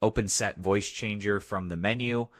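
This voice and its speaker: A man narrating a scripted step-by-step software tutorial as its host. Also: on the low side, steady tone, normal speed, no room sound, clean studio sound.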